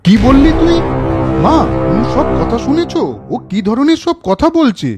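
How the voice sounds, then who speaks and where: Man as a cartoon big brother, unusually high voice, lively intonation, caring, principled, gently lecturing. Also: unhurried, over loud music, exaggerated voice. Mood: stern, reproving. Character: caring, principled older brother, an office worker. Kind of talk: children's cartoon story dialogue.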